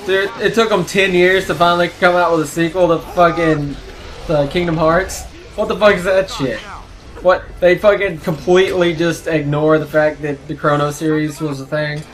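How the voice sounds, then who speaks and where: Animated young man gaming, higher voice. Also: normal speed. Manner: animated, profane, jumps between topics. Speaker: let's play commentator. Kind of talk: casual gaming chat.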